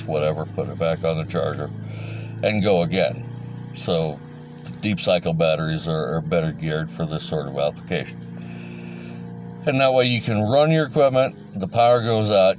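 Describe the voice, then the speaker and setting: A man's voice: low voice, highs cut off, background noise. A middle-aged man giving a casual, rambling, plain-spoken explainer in a folksy way, like a hobbyist talking to fellow enthusiasts. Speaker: hobbyist presenter. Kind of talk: casual informal explainer monologue.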